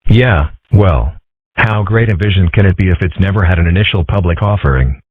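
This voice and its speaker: A man voicing a calm, philosophical young fox boy in a comic strip dub, deadpan, measured and wry. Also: low voice, no echo. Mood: dry, wry. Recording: muffled, phone-like narrow audio.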